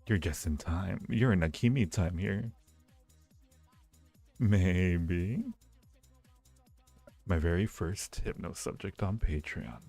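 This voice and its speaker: A man's voice: deep voice, takes it slowly, creaky, pauses often, a little mellow. A man hosting a livestream, chatting casually with viewers in a playful, flirty way and often repeating his phrases. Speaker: livestream host. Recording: roomy echo, faint music behind.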